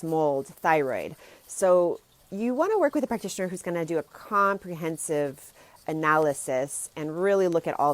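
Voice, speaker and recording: A woman speaks in a low-pitched voice. She presents like a health coach on an informal live video, explaining things in a plain-spoken, instructive way. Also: no echo. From Germany and the United States. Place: outdoors, sitting outside.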